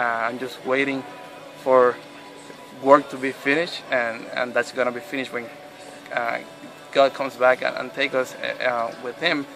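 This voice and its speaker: A man in his thirties from Puerto Rico, telling his faith story in an interview with many pauses and restarts. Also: medium pitch, pushed and bright, poor audio. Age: 30s. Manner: hesitant, many restarts and fillers. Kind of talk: personal testimony interview.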